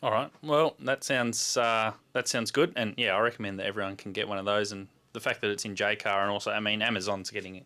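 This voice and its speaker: A man's voice: on the low side, fairly even intonation, fast talker, few pauses, sharp tone. A man with an Australian accent hosting a casual, conversational interview. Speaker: interview host.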